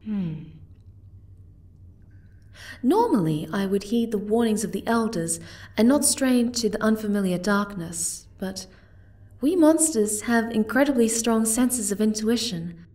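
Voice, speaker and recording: A woman voicing a gentle, timid, caring young moth girl in an ASMR roleplay, soft-spoken and hesitant. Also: medium pitch, lively intonation, measured pace, long pauses, lightly acted. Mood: warm, curious.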